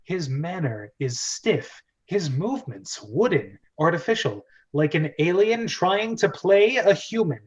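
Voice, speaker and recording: A man with a fairly high voice hosts a script reading show. He is chatty and jokey, and leans into theatrical delivery as he narrates. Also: animated, a little slow, hardly any pauses, highs cut off. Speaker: host and narrator of a script read-through show.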